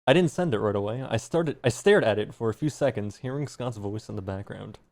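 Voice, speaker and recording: A man's voice: on the low side, expressive, brisk, darkish tone. A man streaming, reading fan fiction aloud with some embarrassed amusement.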